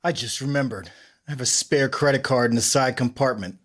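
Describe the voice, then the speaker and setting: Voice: mid-range voice, fairly quick, a bit bright, voice pushed down, lightly acted. A performer reading an exasperated, bitter husband in a comic table read, sounding irritated, sarcastic and defensive.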